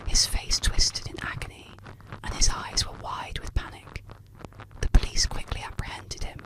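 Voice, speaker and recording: Soft, unvoiced ASMR whisper, storytelling. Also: moderate pace, poor audio. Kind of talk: whispered ASMR scripted narration of spooky legends.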